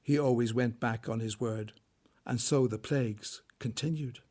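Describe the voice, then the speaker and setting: A man's voice: medium pitch, fairly even intonation, moderate pace, a little mellow. A man narrating a scripted story as a storyteller for an audio drama.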